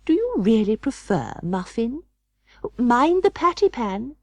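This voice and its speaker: Woman voicing a prim, high, fussy lady cat. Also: very animated, unhurried, a little mellow, dry recording, full character voice. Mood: prim, polite. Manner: prim, emphatic, proper. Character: prim, fussy female cat hostess, firmly proper. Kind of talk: audiobook storybook character voice.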